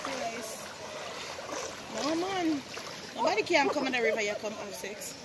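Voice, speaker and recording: A woman's voice: sits high, lively intonation, unhurried. A woman bantering from a river, caught from a distance. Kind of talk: casual banter. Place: outdoors in a river. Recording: distant, noisy outdoor phone recording.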